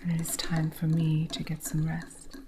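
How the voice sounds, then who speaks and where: A woman voicing an eccentric witch shopkeeper who mentors her apprentice, speaking in soft, unvoiced whispers for an ASMR roleplay. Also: deep voice, monotone, moderate pace, background noise, natural voice. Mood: gentle whispering.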